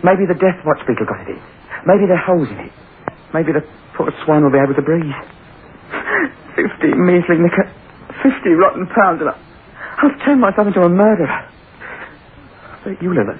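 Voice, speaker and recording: An actor as swooping, guilt-ridden young English thief. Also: male voice, fairly high pitch, lively intonation, a little fast, pauses often, slight background noise, close to an everyday voice. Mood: bitter, anguished. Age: young adult. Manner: intense, brooding, melodramatic. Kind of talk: old-time radio drama acting with confessional narration. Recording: tinny old radio recording.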